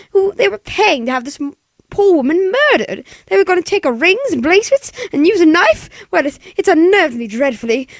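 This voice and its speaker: A man's voice: very high voice, a bit bright, dry recording, exaggerated voice. A man voicing a demanding, hysterical wealthy invalid woman in an old radio play, imperious and exasperated. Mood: anxious urgent. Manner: imperious, exasperated, breathless urgency. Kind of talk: 1940s radio play acting.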